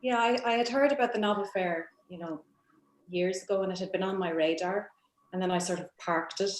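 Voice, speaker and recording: A woman's voice: medium pitch, fairly quick, slight background noise. A woman talking off the cuff as a panel guest, earnest and repeating phrases for emphasis. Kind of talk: unscripted panel talk. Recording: echoey room sound.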